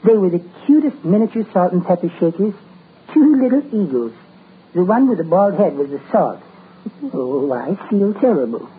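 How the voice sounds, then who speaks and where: An actor playing a meek, fussy, soft-spoken male neighbor in 1940s radio comedy. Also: high voice, big pitch swings, normal speed, breathy edge, exaggerated voice. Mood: mild. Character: meek, mild-mannered bird-loving adult male neighbor. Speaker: visiting neighbor. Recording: tinny old radio broadcast with faint hiss.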